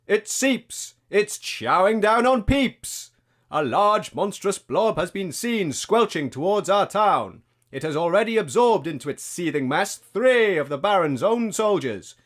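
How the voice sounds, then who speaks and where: A man's voice: sits high, fairly bright tone, exaggerated voice. A man playing a pompous town herald, declaiming dire proclamations dramatically in a radio comedy.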